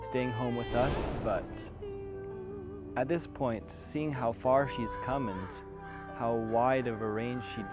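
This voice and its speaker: A young man, the singer's grown child, speaking quietly and sincerely in an interview. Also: medium pitch, steady tone, slow. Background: music.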